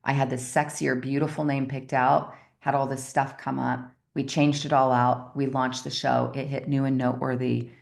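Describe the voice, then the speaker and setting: A woman coach speaks to her group in a strikingly low register with a gravelly edge to her voice. She tells a personal story in a chatty, motivational style. She keeps checking in with her listeners as she goes. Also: level tone, normal speed, few pauses. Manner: chatty storytelling, often checks in with the audience with "right?". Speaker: success coach.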